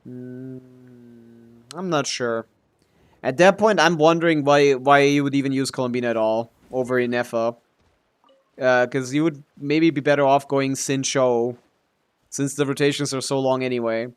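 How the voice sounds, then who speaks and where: A man streaming a game live, with an average-pitched voice. He talks offhandedly, thinking aloud as he answers chat. His commentary is casual, with some loose swearing. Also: fairly even intonation, normal speed, smooth.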